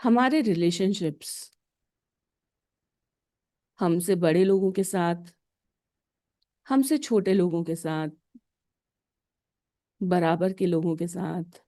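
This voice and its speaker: Casting: woman meditation guide, very slow pace, soft-edged mellow tone, calm and deliberate. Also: on the low side. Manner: calm, deliberate, with pauses between short phrases. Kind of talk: guided meditation, slow instructions in short phrases.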